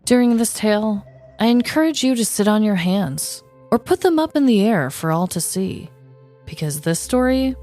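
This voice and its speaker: A woman's voice: medium pitch, warm tone. A woman co-hosting a comedy podcast, moving between casual chat and scripted storytelling. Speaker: podcast co-host.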